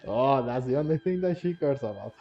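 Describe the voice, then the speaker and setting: A man giving casual game playthrough commentary in a halting, repetitive, giggly way, on a lo-fi mic. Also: mid-range voice, expressive. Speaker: gaming video host. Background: faint game music.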